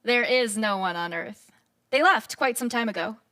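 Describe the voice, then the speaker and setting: A proud artificial-intelligence queen, acted by a woman in a live sci-fi radio play. Her voice is high and strikingly bright, and she issues cool, commanding declarations. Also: normal speed. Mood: commanding, composed. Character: Brain, cool proud female AI queen ruling a collective. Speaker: actor in a live stage audio drama.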